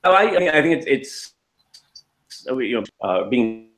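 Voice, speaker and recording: A man's voice: medium pitch, sing-song, moderate pace, fairly bright tone, poor audio. A man co-hosting a casual podcast chat, rambling with many restarts and fillers. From United States. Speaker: podcast co-host.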